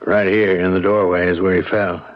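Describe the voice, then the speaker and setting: A man's voice: low-pitched, little pitch movement, moderate pace, lightly acted. A man playing a seasoned, worldly small-town lawyer in a radio drama, talking in a blunt, knowing, folksy way. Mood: casual. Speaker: actor. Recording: muffled radio drama recording.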